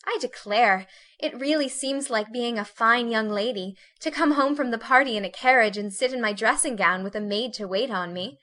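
A woman voicing a delicate, complaining young lady, speaking softly and plaintively. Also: fairly high pitch, normal speed, pitched above the performer's own voice. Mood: fretful.

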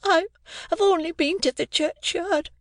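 A man voicing a timid, frightened young boy with a small, high voice, in a storybook reading. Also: steady tone, unhurried, a bit bright, dry recording, studio quality, exaggerated voice.

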